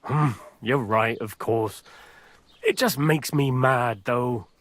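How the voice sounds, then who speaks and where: An actor playing a chatty, aggrieved middle-aged medieval village bailiff in a video game. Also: male voice, mid-range voice, slow pace, a bit bright, no echo. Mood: grudging, suspicious. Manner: garrulous, grumbling.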